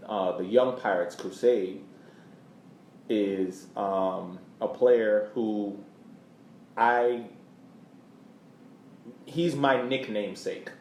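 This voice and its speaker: Man, podcast host, lowish voice, casual sports talk, roomy echo, light background noise. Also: very slow, long pauses, darkish tone.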